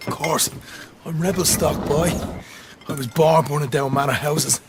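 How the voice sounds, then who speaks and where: An actor playing a cocky, fiery young Irish outlaw in a video game, brash and folksy in manner. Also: male voice, fairly high pitch, steady tone, moderate pace, background noise, close to an everyday voice. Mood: cocky, eager. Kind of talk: video game dramatic acting. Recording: loud music behind, vehicle sounds.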